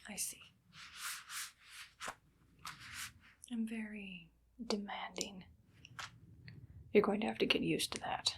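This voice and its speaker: Whispering, creaky evil woman doctor acted by a woman. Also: mid-range voice, fairly even intonation, a little slow, a little hiss or hum, natural voice. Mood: condescending. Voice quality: soft, breathy whispering. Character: menacing, condescending woman evil scientist doctor. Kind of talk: ASMR roleplay. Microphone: close ASMR microphone.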